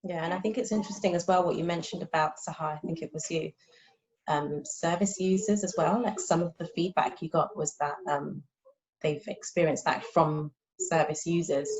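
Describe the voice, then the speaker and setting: A woman's voice: low voice, moderate pace. A woman speech and language therapist in a casual panel discussion, talking conversationally and checking in with her listeners. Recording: dull lo-fi audio.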